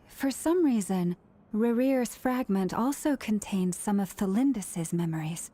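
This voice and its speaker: A woman playing a knowing, serious young woman in a video game, recounting lore in a measured way. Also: mid-range voice, lively intonation, dark tone. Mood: serious, informative.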